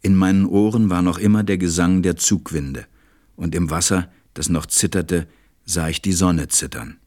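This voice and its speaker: A man acting a reflective, tender pilot who narrates a radio play in a measured, gentle way. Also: low-pitched, fairly even intonation, natural voice. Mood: wondering. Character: reflective adult male pilot, tender storyteller.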